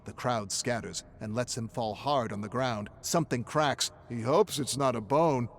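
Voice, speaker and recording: A man's voice: mid-range voice, moderate pace, a little hiss or hum. A man narrating a scripted story with an intense, theatrical delivery.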